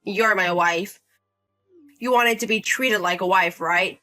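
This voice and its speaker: A woman's voice: medium pitch, takes it slowly, sharp tone, faint music behind. A woman voicing an entitled, bullying older brother-in-law in his thirties who talks down to people and makes demands, in a voice-acted drama story. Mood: scolding. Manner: demanding, condescending, scolding.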